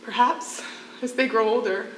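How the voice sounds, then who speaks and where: A woman acting in a stage play as a defiant condemned prisoner and devoted mother, speaking in earnest, deliberate phrases. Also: on the high side, steady tone, close to an everyday voice. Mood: wistful. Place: theatre stage, live performance. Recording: echoey stage recording with faint noise.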